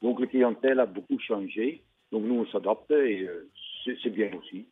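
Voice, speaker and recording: An older man farmer from the Netherlands with a Dutch accent, speaking plainly and emphatically in a radio interview, pausing often with fillers. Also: lowish voice, breathy edge. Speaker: fruit farmer. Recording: tinny phone-like radio line.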